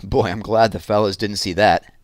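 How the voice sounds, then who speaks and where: A man voicing an eager, cheeky boy student in a children's story, with a lively manner. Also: on the low side, moderate pace, no room sound, clean studio sound, close to an everyday voice.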